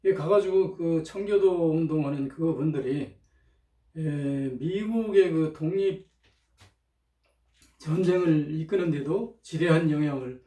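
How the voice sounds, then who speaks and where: Mellow, rambling man on a distant mic. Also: on the high side, a little slow. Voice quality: strained throat from chronic irritation. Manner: rambling, hesitant, frequent throat clearing. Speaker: lecturer, channel host. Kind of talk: informal spoken monologue. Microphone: cheap, low-volume microphone. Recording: roomy, distant cheap microphone.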